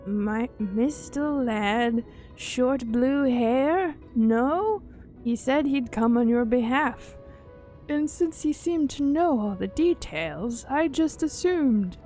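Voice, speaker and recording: A puzzled fantasy game merchant acted out by a woman talks plainly and slowly. The delivery is hesitant and questioning, with a soft, mellow tone over game music. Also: sits high, lively intonation. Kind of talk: reading game dialogue aloud in character.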